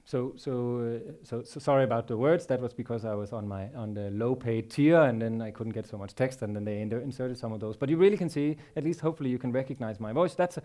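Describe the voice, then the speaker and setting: Danish guy on a big stage, headset mic, mellow dark voice, lots of "so, so" and "uh". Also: mid-range voice. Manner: halting, many repeated words and fillers. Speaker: conference speaker. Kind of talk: technical conference talk. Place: large conference stage.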